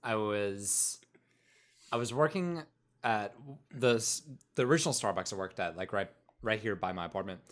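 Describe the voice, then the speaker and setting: A man's voice: on the low side, moderate pace. A man, co-hosting a casual podcast and telling a loose story while laughing often. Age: 20s. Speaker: podcast co-host.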